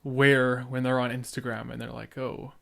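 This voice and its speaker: A young adult man with a mid-pitched voice speaks casually and candidly, like a podcast host reacting to old footage. He is earnest and a little halting, with occasional stutters and repeated words. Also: little pitch movement, gravelly, fairly bright tone.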